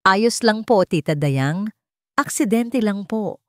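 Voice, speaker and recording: A woman's voice: medium pitch, animated, takes it slowly, dry recording, voice pushed up. Woman narrator as timid young wife, anxious, wounded, restrained, story drama, dry sound. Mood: meek, hurt.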